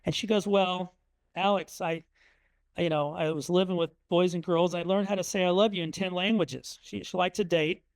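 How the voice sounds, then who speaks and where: A man's voice: high voice, fairly even intonation, moderate pace, no room sound. A middle-aged man from Indiana giving a conversational talk with slides, telling family anecdotes with frequent ums and uhs. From Indiana, USA. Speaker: author speaking at a museum talk. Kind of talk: storytelling presentation with slides.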